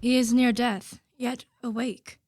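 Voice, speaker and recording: A woman's voice: fairly high pitch, level tone, slow, darkish tone, a little hiss or hum. A woman playing a grave, concerned male sea captain in a radio play, with formal, earnest delivery. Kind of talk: theatrical radio play acting.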